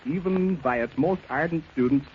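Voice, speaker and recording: A man's voice: fairly high pitch, moderate pace, lo-fi sound, slight background noise. A man giving a formal, mock-serious speech as a guest on 1930s radio, oratorical in manner, on an old broadcast recording. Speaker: guest speaker, club president.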